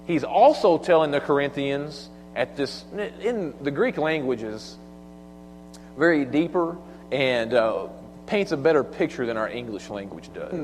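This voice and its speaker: A pastor preaching a sermon, played back as a slowed-down clip with a slow, dragged-out delivery. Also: male voice, medium pitch, big pitch swings, a little hiss or hum. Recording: sermon audio clip with long reverb and faint music.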